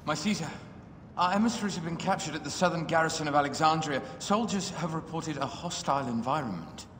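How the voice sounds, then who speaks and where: Reverberating in a hall with faint noise, a dutiful Roman officer for a video game. His voice is slightly high, formal and obedient. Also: animated, a little fast, a bit bright. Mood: formal, concerned. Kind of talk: dramatic video game cutscene acting.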